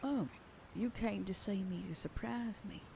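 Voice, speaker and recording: A woman's voice: mid-range voice, unhurried, creaky, dark tone, slight background noise. A woman voicing a protective werewolf sheriff girlfriend in an ASMR roleplay, scolding and bossy. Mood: whispering. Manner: scolding, bossy then whispering tenderly. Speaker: voice actor.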